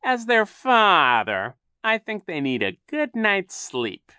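A man's voice: sits high, wildly swooping pitch, sharp tone, clear, resonant tone, dry recording, studio quality, voice pushed up, exaggerated voice. A man voicing a menacing villainous sea captain in an audiobook, sneering and falsely sweet, drawing out his words. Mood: oily false concern. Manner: falsely soothing, sneering, drawn-out delivery.

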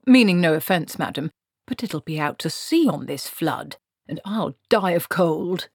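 A woman's voice: lowish voice, animated, a little slow, gravelly, darkish tone, husky, dry recording, clean studio sound, full character voice. A woman voicing a miserable, reluctant male wizard in a storybook, fussy, protesting and formally polite. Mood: indignant, dejected.

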